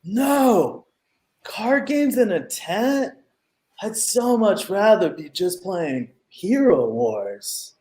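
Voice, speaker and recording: Slow, high-ish, nerdy sidekick played by a man. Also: very animated, warm tone, full character voice. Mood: dismayed. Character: nerdy, game-obsessed, pedantic male sidekick. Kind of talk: comedic radio play acting over video call. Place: room at home, on a video call.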